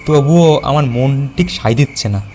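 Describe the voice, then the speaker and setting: A man playing a worried, cautious young village schoolteacher in an animated horror story. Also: mid-range voice, moderate pace, soft background music, close to an everyday voice. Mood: worried, warning. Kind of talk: acted cartoon dialogue.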